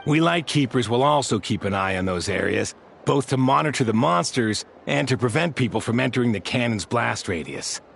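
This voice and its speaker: A man's voice: medium pitch, animated, normal speed, gravelly, a bit bright, a little hiss or hum. A man voicing a composed, dutiful soldier in a video game, speaking formally and with courteous reserve. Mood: serious, reassuring.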